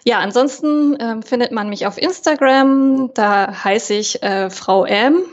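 A woman's voice: fairly high pitch, unhurried. A young woman from Germany, a podcast guest chatting casually with many hesitations and fillers. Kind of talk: casual interview chat. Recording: dull lo-fi sound.

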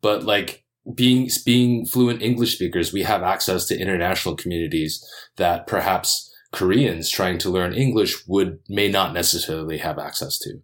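Man, deep voice, earnest, hesitant delivery, casual discussion. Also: steady tone, normal speed, a bit bright.

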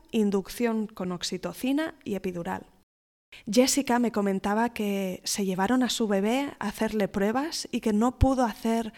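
Welcoming woman podcast host. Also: fairly high pitch. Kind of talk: podcast interview.

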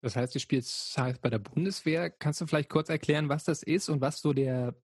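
Husky podcast host asking questions. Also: male voice, a little high, a little fast, a little mellow, no echo, studio quality. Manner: brief prompts, casual follow-up questions. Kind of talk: podcast interview.

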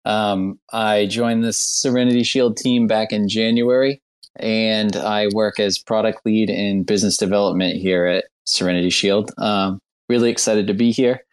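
American guy, product lead on a panel, earnest and easygoing, kinda low voice. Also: steady tone, normal speed, clean tone. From United States. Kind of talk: casual panel chat.

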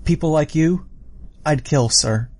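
A man voicing a meek, frightened but earnest boy in an audiobook story, halting and deferential. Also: fairly high pitch, darkish tone, voice pushed up. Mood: grim resolve.

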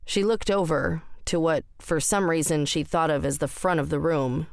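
Low-pitched woman narrating fiction. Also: fairly even intonation, normal speed, darkish tone, no room sound, clean studio sound. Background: music.

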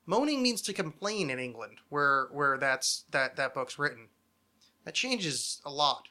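Bright-voiced, higher-pitched American man. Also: big pitch swings, a little slow. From United States. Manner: self-deprecating, profane. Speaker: podcast host. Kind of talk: casual rambling comedic chat.

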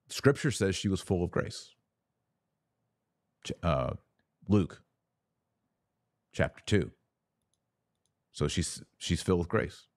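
Low-voiced man teaching with emphasis. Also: dry recording, studio quality. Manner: emphatic, didactic, with deliberate pauses on key words. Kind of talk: live theological teaching and viewer Q&A.

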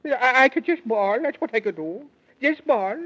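A man's voice: very high voice, lively intonation, moderate pace, sharp tone, full character voice. An actor voicing a whimsical, fretful lion king in a 1930s children's radio play, with a dithering, theatrical delivery. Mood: woeful, dithering. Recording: muffled vintage 1930s radio recording.

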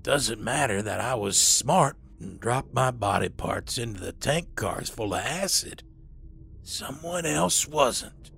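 An actor playing a withered, sinister old serial killer in an audio drama, with a raspy, smoke-worn voice and a deliberate, menacing delivery. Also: male voice, medium pitch, expressive, fairly bright tone, heavily acted. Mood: cold menace. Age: older.

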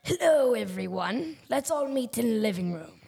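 High, creaky, husky young detective played by a girl. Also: lively intonation, normal speed, dark tone, exaggerated voice. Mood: businesslike. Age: child or teenager. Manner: blunt, commanding questioning. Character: bossy, suspicious police detective questioning suspects. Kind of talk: amateur youth radio play.

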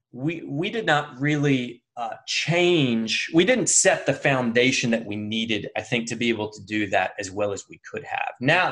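A man's voice: on the high side, fairly bright tone. A man, probably in his thirties, speaking as an interview guest, thoughtful and earnest, often restarting his sentences with fillers. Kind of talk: reflective conversational interview.

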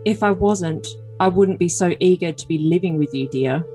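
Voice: mid-range voice, normal speed, warm tone, faint music behind, close to an everyday voice. A performer playing a doting young boyfriend, earnest and stilted, in an overly dramatic reading of text messages.

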